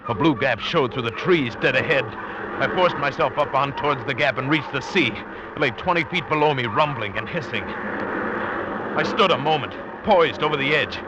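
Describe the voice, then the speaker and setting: An actor playing a hunted, desperate but determined American adventurer in a tense 1940s radio drama. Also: male voice, mid-range voice, lively intonation, normal speed, lightly acted. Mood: frantic, desperate. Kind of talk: 1940s radio acting, first-person dramatic narration. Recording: muffled, hissy 1940s radio recording.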